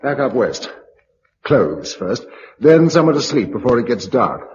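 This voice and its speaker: An actor playing a resolute, practical British survivor of a catastrophe in a radio drama, with a brisk, unsentimental, commanding manner. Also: male voice, medium pitch, very animated, darkish tone. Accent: British English. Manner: brisk, matter-of-fact, commanding. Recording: dull lo-fi radio recording, faint noise.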